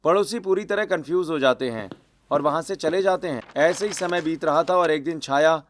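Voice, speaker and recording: A man's voice: fairly high pitch, moderate pace. A man narrating a scripted cartoon family story.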